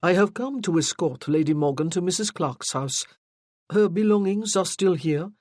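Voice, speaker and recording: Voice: mid-range voice, moderate pace, no echo, studio quality, natural voice. An audiobook narrator voicing a courteous but steely nobleman with a French accent. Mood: firm. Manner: calm, firm, courteous.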